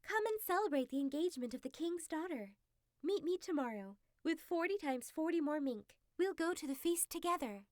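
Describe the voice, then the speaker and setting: A woman voicing a cunning, scheming female fox who talks in a brisk, persuasive, bossy way. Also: high voice, expressive, darkish tone, dry recording, clean studio sound, voice pushed up.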